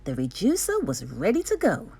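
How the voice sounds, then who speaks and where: A woman reading a children's storybook aloud expressively, enunciating clearly with dramatic emphasis. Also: medium pitch, wildly swooping pitch, moderate pace, mellow. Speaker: storybook reader.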